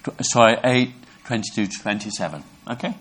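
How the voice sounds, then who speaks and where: A man from Brixham, England, preaching a sermon in an earnest, halting way, often restarting his words. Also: lowish voice, a bit bright, breathy edge. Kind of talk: sermon to a congregation.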